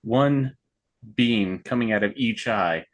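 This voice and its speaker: A man's voice: on the low side, slow. A man acting as game master, narrating and managing turns in an online tabletop game.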